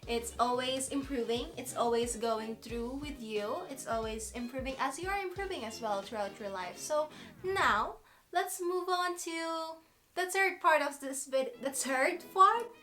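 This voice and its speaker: A woman's voice: high voice, a little slow, sharp tone, soft background music. A woman in her twenties with a Filipino accent, chatting casually and giggling as she talks about skincare. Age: 20s. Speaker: beauty vlogger. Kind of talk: casual chat to camera.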